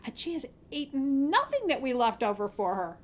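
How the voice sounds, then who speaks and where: A woman's voice: high voice, sing-song, moderate pace. A woman voicing a mean, suspicious sister in a fairy tale, speaking matter-of-factly.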